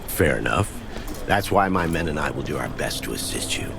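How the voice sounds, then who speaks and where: An actor playing a dutiful medieval guard captain in a video game, formal and businesslike. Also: male voice, a bass voice, expressive, normal speed, gravelly, over music, full character voice. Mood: formal, brisk.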